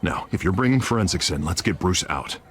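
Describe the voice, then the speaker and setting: A man's voice: deep voice, lively intonation, brisk, a bit bright. A man voicing a businesslike, concerned police official in a video game.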